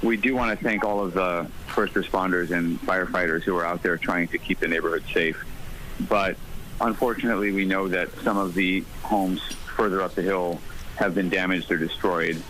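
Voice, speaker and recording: Low-voiced man, measured, with background noise. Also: steady tone, a bit bright, poor audio. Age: middle-aged. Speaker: interviewed journalist and evacuated resident. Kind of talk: eyewitness account in a live news interview.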